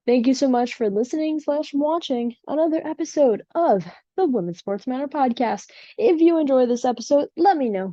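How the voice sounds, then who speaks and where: A woman's voice: sits high, mellow, no room sound, highs cut off. Chatty woman podcast host, Chicago accent. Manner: rambling and chatty, with many ums. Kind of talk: casual solo podcast chat. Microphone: home setup without usual equipment.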